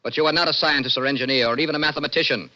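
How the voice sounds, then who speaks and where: An actor with an American accent playing a cold, formal young lieutenant judge of a dystopian court, in 1960s radio drama style. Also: male voice, fairly high pitch, racing, bright, forceful tone. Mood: cold, unbending. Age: young adult. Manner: cold, formal, clipped pronouncements. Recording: dull old-time radio recording.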